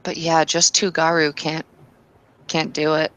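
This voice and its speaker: A woman's voice: low voice, level tone, unhurried, a bit bright, smooth, rough recording. A woman playing a tabletop roleplaying game, speaking in character, earnestly and with some hesitant restarts.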